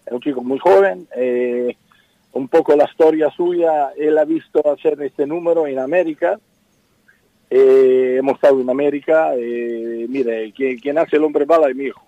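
A man's voice: mid-range voice, moderate pace, mellow, dry recording, highs cut off. A middle-aged Spanish man with an Italian accent, a circus owner answering in a radio interview, hesitant and repeating his words. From Spain. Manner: hesitant, repeats words, says "mire" often.

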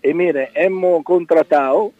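A man's voice: on the high side, a little slow, phone-quality sound. A middle-aged Spanish man with an Italian accent, a circus owner answering in a radio interview, hesitant and repeating his words. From Spain. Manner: hesitant, repeats words, says "mire" often.

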